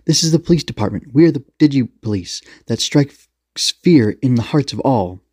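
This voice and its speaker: A man's voice: mid-range voice, lively intonation, normal speed, darkish tone, husky, no echo, clean studio sound, pitched above the performer's own voice. A man voicing a brash, cocky, foul-mouthed young policewoman commander in a story, barking slangy taunts. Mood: threatening.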